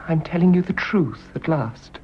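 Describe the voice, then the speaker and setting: British nurse in a radio play, low voice, blunt and accusing, muffled recording. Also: female voice, animated, normal speed. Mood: firm, defensive. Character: cold, accusing nurse, bluntly revealing secrets. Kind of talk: radio drama acting. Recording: dull, noisy radio drama recording.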